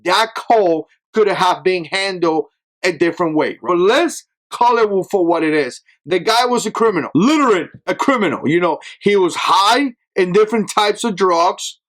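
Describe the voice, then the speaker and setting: A man with a fairly high voice and a non-native accent delivers a heated opinion piece to his audience. He hammers each point and keeps checking in with "right?". His tone is blunt and conversational rather than polished. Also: expressive, moderate pace, fairly bright tone. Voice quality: speaks with an accent that is not standard American. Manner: emphatic, with frequent tag questions of "right?". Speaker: channel host. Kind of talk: opinion commentary to camera.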